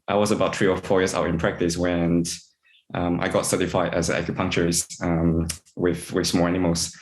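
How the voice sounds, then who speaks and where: Hombre de voz muy grave, sala con eco. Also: male voice, deep voice, echoey room, rough recording. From Singapore. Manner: halting, many repeated words and fillers, laughs often. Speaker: integrative veterinarian guest. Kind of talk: casual interview answers.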